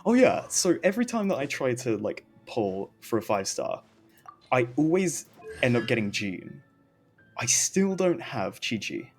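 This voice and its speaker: A man's voice: mid-range voice, very animated, measured pace, darkish tone, faint music behind. A man chatting casually as he streams a game, rambling and often interrupting himself, with some noise on the recording. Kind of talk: casual gaming stream chat.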